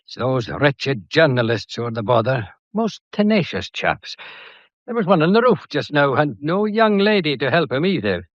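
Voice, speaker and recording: An actor playing a genial but firm, shrewd Scottish police inspector in a radio drama, folksy, unhurried and authoritative. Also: male voice, on the high side, very animated, dry recording, muffled recording. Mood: genial, assured.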